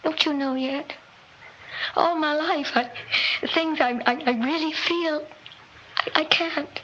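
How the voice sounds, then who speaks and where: An actress in a 1960s radio drama playing a weary, frightened, bitter wife, speaking in halting, strained bursts. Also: female voice, high-pitched, fairly even intonation, a bit bright. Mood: bitter, anguished. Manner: halting, repeating, emotionally strained. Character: weary, frightened, bitter wife confessing long-held hatred. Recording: dull, noisy old radio recording.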